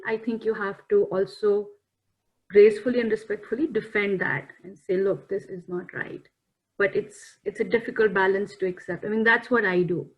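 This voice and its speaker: A woman's voice: fairly high pitch, highs cut off. A woman, speaking thoughtfully and earnestly as a guest on a talk show. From India. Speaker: panel guest. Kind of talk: reflective talk-show discussion. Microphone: video call.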